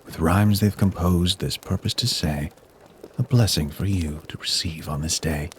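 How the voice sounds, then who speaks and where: A man storyteller reading a rhyming Christmas poem in a solemn, measured way. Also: deep voice, a little hiss or hum. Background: music.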